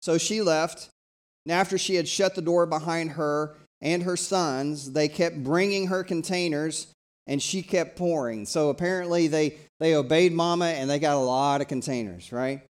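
A middle-aged man from East Tennessee, preaching a live church sermon in a conversational, energetic way that invites the congregation to talk back. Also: fairly high pitch, normal speed. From East Tennessee, USA. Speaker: pastor.

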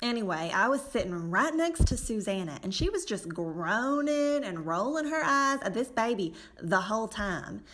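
A woman's voice: mid-range voice, sing-song, normal speed, hardly any pauses, fairly bright tone. A woman playing a gossipy, self-righteous and easily exasperated church lady in a comedic radio play, with a folksy, indignant, dramatic delivery. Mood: gossipy, disapproving.

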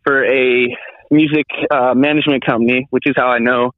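A man's voice: medium pitch, steady tone, no room sound. A young man in his twenties rambles through a casual podcast chat, stopping and restarting often. His voice comes over a thin, phone-like line with very little room sound. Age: 20s. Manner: rambling, halting, with many restarts and fillers. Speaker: guest.

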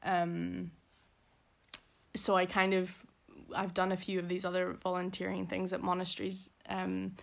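A woman's voice: fairly low pitch, monotone, unhurried, creaky. A young woman with a Belfast accent, talking casually as an interview guest, rambling with many fillers. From Northern Ireland.

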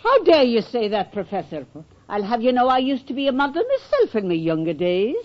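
A woman's voice: a little high, very animated, a little fast. An actress playing a proud, huffy but kindly older landlady with theatrical fussiness in a 1940s radio comedy. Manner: indignant, fussy, theatrical. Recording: dull vintage radio recording.

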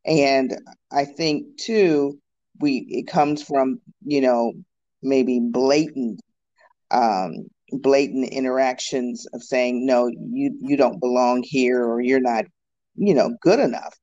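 A man's voice: mid-range voice, slow, mellow. A man talking in a thoughtful, hesitant way in a casual conversation, laughing easily. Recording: slightly muffled lo-fi sound.

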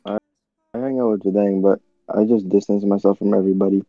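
A man's voice: lowish voice, fairly even intonation, quick pace, no echo, lo-fi sound, faint music behind. A man in his late teens from Canada, co-hosting a podcast with short, teasing slangy remarks. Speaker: podcast co-host. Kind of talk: casual chat.

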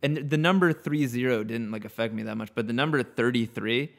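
A man in his thirties drifts through his thoughts in a relaxed podcast chat. His voice sits at an ordinary middle pitch, and he often backs up to rephrase before he goes on. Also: a little fast, creaky. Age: 30s. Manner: reflective, rambling, many restarts. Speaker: podcast guest. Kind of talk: casual chat.

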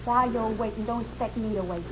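A woman's voice: on the high side, quick pace, background noise, close to an everyday voice. An actress playing a defiant, scheming young small-town woman in a 1950s radio drama. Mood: sulky, taunting. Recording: muffled vintage 1950s radio recording.

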